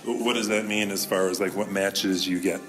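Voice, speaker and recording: A man's voice: low-pitched, steady tone, moderate pace, a bit bright, faint music behind. A man hosting a casual interview in a conversational style, putting questions to a guest. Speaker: school podcast host and interviewer.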